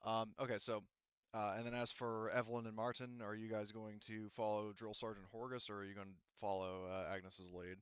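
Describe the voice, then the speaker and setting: Man, low-pitched, clean resonant voice, GM who rambles with lots of ums; dry muffled recording. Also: level tone, brisk, fairly bright tone, clear, resonant tone, dry recording. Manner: rambling, many fillers and restarts. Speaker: game master. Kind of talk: narrating a tabletop game.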